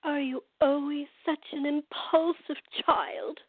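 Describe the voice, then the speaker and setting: A woman's voice: high-pitched, fairly even intonation, slow pace, no echo. A woman playing a cantankerous, commanding woman in her eighties in radio theater, stern, formal and scolding. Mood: contemptuous. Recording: tinny, phone-like narrow band.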